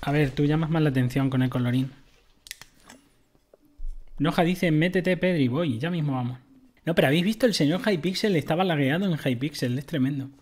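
A man's voice: fairly high pitch, brisk, a little mellow. A man giving casual commentary on a game, explaining items to his viewers. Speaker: gaming streamer.

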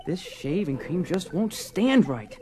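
A man's voice: high-pitched, big pitch swings, takes it slowly, heavily acted. An actor playing an earnest, insistent family man obsessed with UFOs on a dramatised story record. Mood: preoccupied. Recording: dramatised record with music behind.

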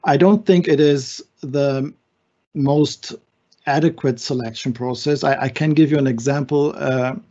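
A man's voice: mid-range voice, steady tone, a little slow, warm tone. A man in his sixties, born in Germany and raised in Brazil, answering seminar questions from his home study over a video call, thoughtful and hesitant with many restarts. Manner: thoughtful, with frequent fillers and restarts. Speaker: physician guest speaker. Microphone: video call webcam. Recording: muffled video call audio.

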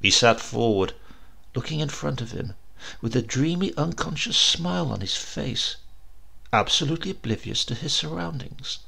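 Man, audiobook narration, gothic horror, theatrical delivery, gravelly fry, deliberate pauses. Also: medium pitch. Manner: theatrical, expressive reading with deliberate drawn-out pauses. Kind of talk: dramatic scripted narration of a horror story.

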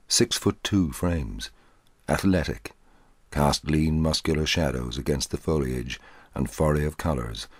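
A middle-aged man reading a short story aloud in a measured, dramatic way, with a husky voice. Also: rumbling low, no room sound. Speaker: audiobook narrator.

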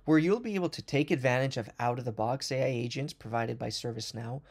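A man presenting a product demo as a voice-over on a screen recording, explaining in a fluent, fast corporate manner with occasional restarts. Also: mid-range voice, darkish tone.

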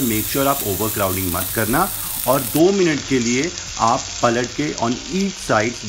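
A man's voice: medium pitch, background noise. Young man explaining a recipe step by step. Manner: instructive, mixes English phrases into Hindi. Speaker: cooking channel host. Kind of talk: recipe demonstration voice-over. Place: home kitchen with brick wall.

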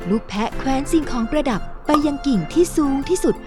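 A woman's voice: high-pitched, very animated, fairly quick, music in the background. A woman reading scripted fairy tale narration for children.

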